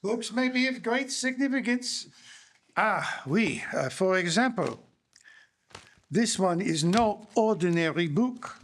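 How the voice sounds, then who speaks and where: A man's voice: sits high, animated, slow pace, fairly bright tone, heavily acted. A man playing a dapper, shrewd Belgian detective with a French-speaking Belgian accent, formal and theatrical, in a live audio drama. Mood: intrigued. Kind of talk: live radio-style audio drama acting. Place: library performance before a live audience.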